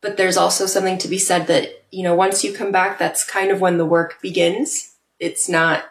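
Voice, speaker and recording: Woman, interview guest, lowish voice, roomy echo, earnest reflective talk. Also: level tone, moderate pace. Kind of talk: casual interview chat.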